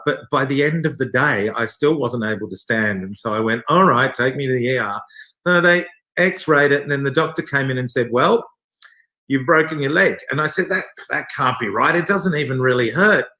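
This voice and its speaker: A man's voice: mid-range voice, moderate pace, sharp tone. A man in his forties, an actor being interviewed, telling casual anecdotes in a jokey, self-deprecating way. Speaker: interview guest, theater actor. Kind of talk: animated anecdotal storytelling in casual chat. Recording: roomy, echoey sound.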